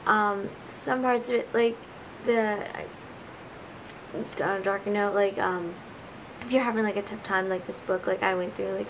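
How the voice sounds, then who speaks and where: Raspy teenage girl reviewing a book. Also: poor audio. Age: teens. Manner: earnest, rambling, searching for words. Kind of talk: casual book review vlog. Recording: noticeable rumbling background noise.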